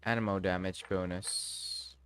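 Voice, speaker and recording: A man's voice: low-pitched, fairly even intonation, unhurried, a bit bright, clear, resonant tone, faint music behind. A man livestreaming a game with casual, blunt, sweary, laid-back commentary.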